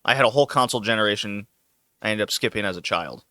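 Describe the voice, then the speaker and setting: A man's voice: fairly low pitch, quick pace, bright, forceful tone, no room sound, clean studio sound. A man hosting a casual podcast, loose and joking, laughing often as he talks. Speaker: podcast host. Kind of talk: casual chat.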